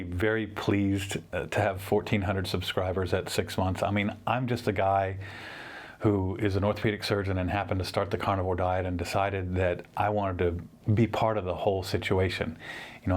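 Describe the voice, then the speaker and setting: A man in his fifties with a fairly low voice talks straight to his viewers in an earnest, meandering way. He circles back, repeats himself and restarts sentences as he shares a personal update. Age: 50s. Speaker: orthopedic surgeon and channel host. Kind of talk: casual personal update to camera.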